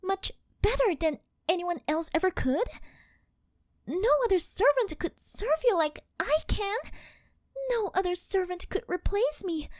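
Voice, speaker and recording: A woman's voice: extremely high pitch, expressive, measured pace, no echo, studio quality. A woman voicing a possessive, worshipful living doll maid in an intimate ASMR roleplay, speaking in a formal, fawning, melodramatic way. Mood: eager, possessive. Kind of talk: scripted ASMR roleplay, intimate monologue to the listener.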